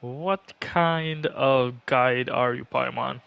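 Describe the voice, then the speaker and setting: A man's voice: medium pitch, fairly even intonation, very slow, vocal fry, a bit bright, clear, resonant tone, no echo. A man streaming a game with casual, grumbling commentary on it.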